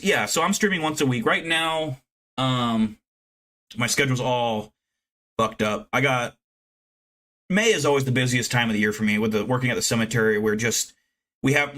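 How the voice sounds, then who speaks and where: Thirties male streamer, loose profane chatter, mid-range pitch, self-deprecating. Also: a bit bright. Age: 30s. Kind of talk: casual live-stream chat with viewers.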